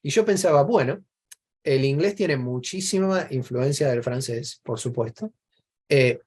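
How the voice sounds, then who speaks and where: Mellow Argentine man, chatting reflectively. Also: medium pitch. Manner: discursive, explanatory, gives examples. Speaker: interview guest. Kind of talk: casual reflective conversation.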